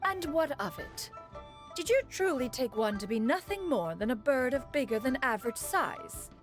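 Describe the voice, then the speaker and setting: A woman's voice: sits high, big pitch swings, moderate pace, soft background music. A woman voicing a proud, formal immortal bird spirit and motherly mentor in a video game, with archaic phrasing. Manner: haughty, formal, archaic phrasing, calls self "one".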